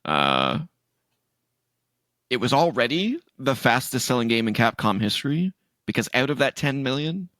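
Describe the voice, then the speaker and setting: A man co-hosting a casual gaming podcast, laughing often and reading out news items in a relaxed drawl. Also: mid-range voice, moderate pace, no room sound, clean studio sound. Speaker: podcast co-host.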